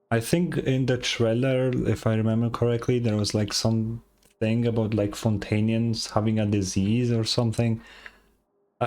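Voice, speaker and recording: A woman's voice: a bass voice, a little mellow. A woman streamer giving casual reaction commentary on a game, rambling and tired, swearing freely.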